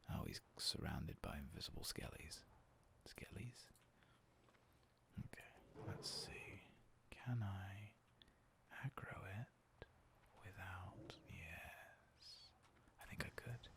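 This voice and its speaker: A man with a hoarse, coarse voice, talking softly and half-whispering as he plays a game in a relaxed, rambling way. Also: low voice. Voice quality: coarse, gravelly voice. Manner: soft, half-whispered, rambling and self-deprecating. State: hoarse after a long work shift. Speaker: gaming ASMR host. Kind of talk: soft-spoken ASMR gameplay commentary.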